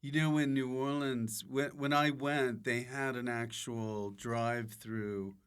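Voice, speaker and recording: A man's voice: mid-range voice, little pitch movement, unhurried, a bit bright, clean tone. An American man hosting a podcast, asking questions and chatting casually with a guest. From United States. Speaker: podcast host. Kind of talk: casual interview chat.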